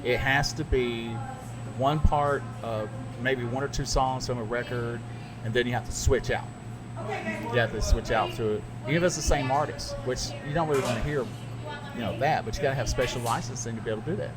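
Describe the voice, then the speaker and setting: A middle-aged man, a radio DJ, chatting casually and telling stories with animated asides. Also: mid-range voice, fairly quick, over loud music. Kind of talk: casual podcast chat. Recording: noisy background.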